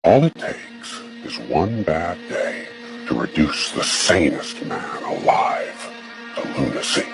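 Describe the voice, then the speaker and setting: A man playing a deranged, taunting villain in a slow theatrical monologue. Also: deep voice, big pitch swings, over music, exaggerated voice. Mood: sinister, taunting. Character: menacing male madman villain, deranged and taunting.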